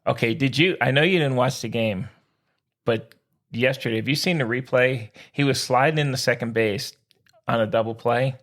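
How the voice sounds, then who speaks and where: A man co-hosting a sports podcast, bantering casually in an excitable, boastful, slangy way. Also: mid-range voice, moderate pace. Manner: excitable, whooping, slangy Yankees-fan boasting.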